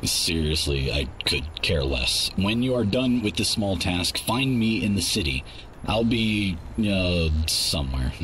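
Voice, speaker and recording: Deep deadpan robot crime boss, acted by a man. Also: unhurried. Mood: indifferent. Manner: deadpan, dismissive. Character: cold, menacing robot contract broker. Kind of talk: animated game cutscene acting. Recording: clear noise behind the voice.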